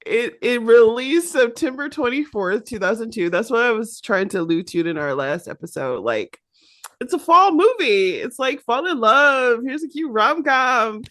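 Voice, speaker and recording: A woman's voice: on the high side, very animated, moderate pace, a bit bright. A woman with a Midwestern accent, co-hosting a casual pop-culture podcast and laughing often. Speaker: podcast co-host.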